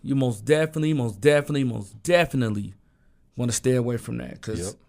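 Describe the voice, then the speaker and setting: A man co-hosting a casual talk show, a bit hoarse from a sore throat, talking in a loose, laid-back way with lots of fillers. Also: medium pitch, fast, darkish tone. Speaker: co-host. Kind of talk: casual podcast chat.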